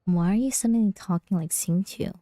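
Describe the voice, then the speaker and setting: A woman's voice: medium pitch, brisk, warm tone. A woman streaming a game, casually reading along and reacting to it.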